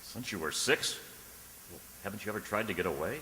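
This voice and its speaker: A man playing an earnest, anxious, lovestruck gentleman in a radio drama, with a stammering, dramatic delivery. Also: fairly low pitch, lively intonation, fairly quick, echoey room, in a large hall, rough recording. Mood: horrified. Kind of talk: radio drama acting, journal narration and dialogue.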